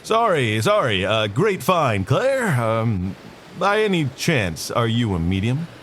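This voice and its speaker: A man's voice: mid-range voice, sing-song, takes it slowly, a bit bright, slight background noise. A man playing an eccentric, chatty FBI agent in an audio drama, with formal, articulate and quirky speech. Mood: playful. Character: eccentric, chatty male FBI agent dictating to tape recorder.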